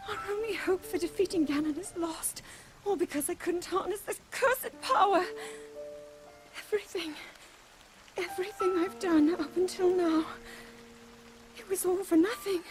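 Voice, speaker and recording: A young woman acting an earnest, desperate yet resolute princess in a video game cutscene, with an emotive, halting delivery. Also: extremely high pitch, music in the background. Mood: grief, despair.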